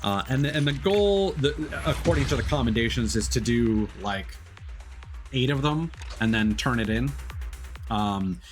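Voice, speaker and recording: A man chatting casually while live streaming a game, explaining things in a rambling, halting way. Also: medium pitch, expressive, over music. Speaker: live streamer playing games. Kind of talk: casual chat while gaming.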